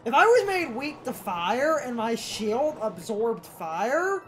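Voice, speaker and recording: A woman with a high, very lively voice co-hosts a casual let's play. She is blunt and opinionated, talking at an unhurried pace. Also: faint music behind. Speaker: co-host of a let's play series. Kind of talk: casual gaming chat.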